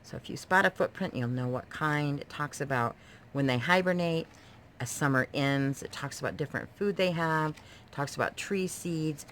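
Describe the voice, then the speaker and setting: A woman with a low voice talks through books in a casual show-and-tell. She is enthusiastic and explains things simply, as a homeschooling mom would. The home recording carries a faint layer of noise. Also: normal speed, a bit bright. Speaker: homeschooling mother presenting books. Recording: noisy home video recording.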